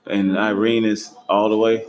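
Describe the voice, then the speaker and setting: A laid-back young man hosts a casual travel conversation. His voice sits a little low, and he talks in easy slang with frequent laughter. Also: measured pace. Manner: relaxed, slangy, laughs easily. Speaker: host.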